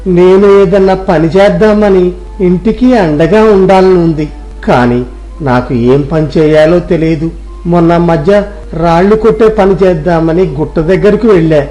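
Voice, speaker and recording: A man's voice: high voice, a little slow, dark tone, lo-fi sound, soft background music. A man playing a poor, respectful, dejected young villager in a storybook tale, speaking plaintively. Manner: deferential, sighing, plaintive. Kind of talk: storybook character dialogue.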